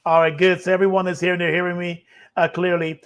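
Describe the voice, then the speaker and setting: A middle-aged man hosting a live online panel, relaxed and hesitant with many fillers. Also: high voice, fast. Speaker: host. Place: indoors in front of a green screen.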